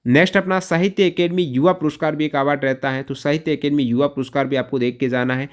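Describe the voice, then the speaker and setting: A man's voice: on the high side, steady tone, fast. A man teaching an exam-prep lesson question by question, posing questions and repeating key answers in an instructional way.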